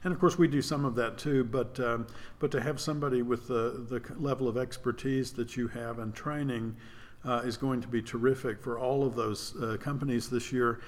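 A man hosting a theater podcast and interviewing a guest, measured, with many uhs and restarts. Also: medium pitch, fairly even intonation. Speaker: podcast host, theater artistic director.

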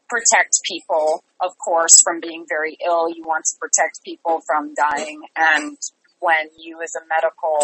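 Bright-voiced woman, earnest interview guest. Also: low-pitched, slightly rough, poor audio. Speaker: interview guest, theatre artistic director. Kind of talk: conversational interview.

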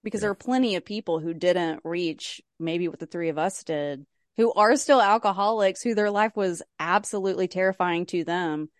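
Earnest low-voiced woman podcast host. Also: moderate pace, few pauses, no echo, studio quality. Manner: earnest, emphatic, leading the discussion. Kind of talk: casual recovery podcast talk.

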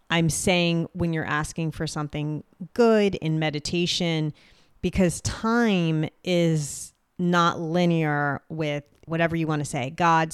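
Booming, clear-voiced woman podcaster. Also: dry recording, studio quality. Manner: direct, speaks clearly with authority. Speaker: podcast host. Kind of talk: solo podcast talk.

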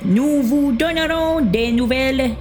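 A man playing a polite, odd and evasive male house buyer who speaks haltingly in an amateur audio drama. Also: very high voice, darkish tone, soft background music. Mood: hesitant, polite. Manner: halting, with long hesitations.

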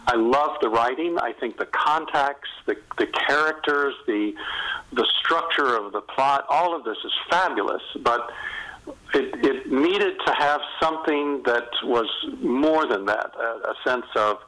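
A man's voice: medium pitch, unhurried, bright, forceful tone, rough recording. A man speaking as an author guest in an interview, explaining his thoughts reflectively and conversationally. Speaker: novelist, podcast guest.

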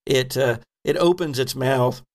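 A man narrating as game master of a tabletop role-playing game, conversational and improvised, pausing as he describes things. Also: medium pitch.

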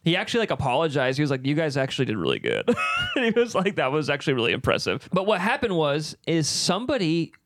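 A man's voice: on the high side, wildly swooping pitch, fast talker, hardly any pauses, fairly bright tone. Laughing, rambling young man. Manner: rambling storyteller, laughs often, lots of fillers. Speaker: podcast co-host. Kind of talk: casual chat.

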